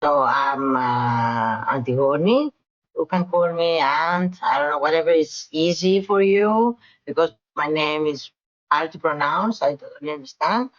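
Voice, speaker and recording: A woman's voice: low-pitched, a little slow, muffled recording. A woman introducing herself as a player, chatty and eager. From Greece. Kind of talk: casual self-introduction.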